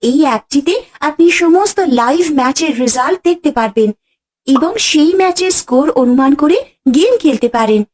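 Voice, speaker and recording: A woman's voice: sits high, expressive, fairly quick, darkish tone. A woman presenting a scripted app advertisement in an upbeat, promotional manner.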